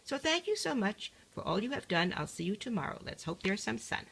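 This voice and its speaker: A woman's voice: medium pitch, very animated, brisk, vocal fry, a bit bright, a little hiss or hum. A woman voicing a polite, flustered, chatty duchess from a rhyming picture book in a genteel singsong. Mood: cheerful, chatty.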